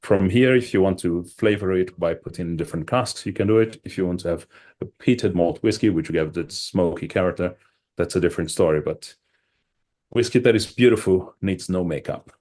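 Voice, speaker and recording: A middle-aged man from Brazil with a very low, deep voice, explaining things patiently in an interview. He talks thoughtfully, pausing on "um" and restarting his sentences as he goes, heard over a video call. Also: a little mellow. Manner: thoughtful, with many fillers and restarts. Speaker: wine and whisky shop owner, guest. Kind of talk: interview answers, explaining at length.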